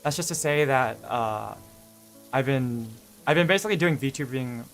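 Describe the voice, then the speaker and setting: A man's voice: medium pitch, normal speed, a bit bright, soft background music. A young man streaming, chatting casually in a rambling, self-correcting way with lots of fillers. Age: young adult. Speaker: VTuber streamer.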